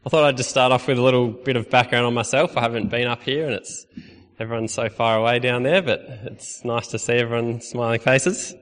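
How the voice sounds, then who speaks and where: A man's voice: mid-range voice, steady tone, fairly quick, a bit bright, big-room sound. A young man from Australia with an Australian accent, giving a friendly, conversational sermon with touches of light humor. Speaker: lay preacher. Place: church hall.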